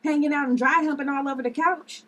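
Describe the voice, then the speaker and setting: A brash, bossy vigilante, played by a woman at a table read, talking in blunt street slang. Her voice is on the high side, over faint noise. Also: steady tone, quick pace, a bit bright. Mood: challenging.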